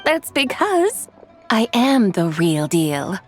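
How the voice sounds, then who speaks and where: A woman's voice: medium pitch, big pitch swings, faint music behind. A woman voicing a regal, youthful-seeming ancient immortal who speaks formally and haughtily in a video game. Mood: amused, haughty. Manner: formal, haughty, measured recitation. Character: lofty ancient female immortal in youthful form, curious, regal. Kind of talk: video game cutscene acting.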